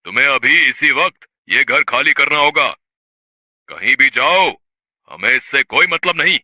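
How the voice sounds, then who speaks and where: A man's voice: medium pitch, lively intonation, measured pace, pushed and bright, dry recording, clean studio sound. An actor playing a rich man's cold, bullying henchman in a story, curt and commanding. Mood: cold, threatening. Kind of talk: dramatized audio story acting.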